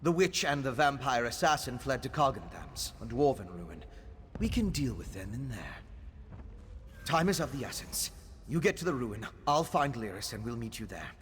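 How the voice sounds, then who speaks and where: Grave game ally in long reverb. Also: male voice, mid-range voice, sing-song, moderate pace, fairly bright tone, a little hiss or hum. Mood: grave, urgent. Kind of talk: fantasy video game voice acting.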